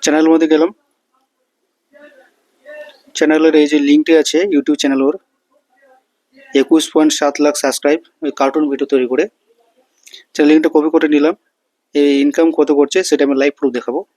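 A man presents a how-to in a casual, step-by-step way. His voice sits a little high for a male speaker, and the sound is dry with no room to it. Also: flat delivery, brisk, long pauses, mellow, no echo, rough recording. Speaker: tutorial host. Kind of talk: casual step-by-step screen tutorial.

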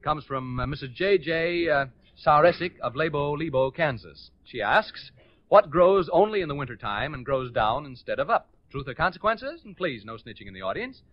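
An American man hosting a live 1940s radio game show with excitable, hurried patter from the stage. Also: on the high side. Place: on stage before a studio audience. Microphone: stage microphone. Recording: dull 1940s radio recording.

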